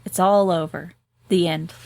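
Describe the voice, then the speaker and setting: A woman's voice: lowish voice, fairly even intonation, measured pace, a little mellow, no room sound. A woman co-hosting a podcast who reads a gamebook aloud and chats casually, laughing often and swearing freely. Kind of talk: casual chat and reading aloud.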